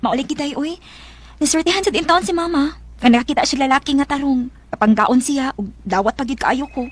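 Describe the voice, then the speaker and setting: A woman's voice: high voice, fairly quick, lightly acted. A woman playing a dutiful, tender, self-sacrificing young working woman in a radio drama, speaking earnestly and emotively with a Filipino accent.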